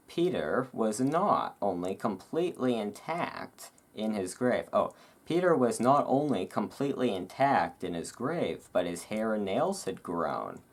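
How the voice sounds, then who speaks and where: A host reading aloud in a relaxed ASMR style, with informal, self-deprecating asides. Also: low-pitched. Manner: informal, self-deprecating over hard words. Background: thunderstorm ambience.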